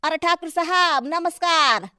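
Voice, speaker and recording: Woman voicing a high, bright, fawning townsperson. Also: measured pace, no room sound, studio quality, heavily acted. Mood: pleading. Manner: fawning, exclamatory. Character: deferential, flattering townsperson in a cartoon. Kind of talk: Hindi cartoon story dialogue.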